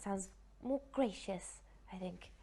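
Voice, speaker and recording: Articulate North Welsh singer. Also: female voice, medium pitch, slow pace, dark tone. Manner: composed, articulate. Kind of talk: interview answers.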